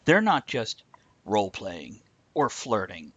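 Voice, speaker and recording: A man's voice: lowish voice, huge pitch swings, slow, no echo. A man hosting a casual, conversational live-streamed talk show.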